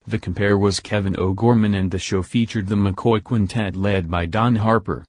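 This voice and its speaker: A man's voice: fairly low pitch, steady tone, moderate pace, dry recording, clean studio sound. A man presenting old radio programmes in scripted narration.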